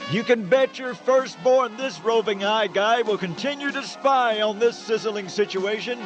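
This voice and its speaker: A chipper male reporter giving a comic news bulletin in a radio play. His voice is high and showy, with music clearly behind it. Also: unhurried, dull audio. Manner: punning, showy.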